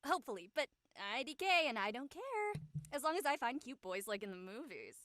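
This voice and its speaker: A woman's voice: a little high, expressive, a little fast, fairly bright tone, no room sound. A woman playing a breezy, boy-crazy teenage girl in an audio drama. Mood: excited, teasing.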